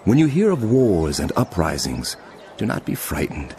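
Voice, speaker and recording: An actor playing a solemn teacher warning his followers, speaking with grave, emphatic and deliberate phrasing in a dramatic scripture reading. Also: low voice, wildly swooping pitch, mellow, a little hiss or hum, heavily acted. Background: music.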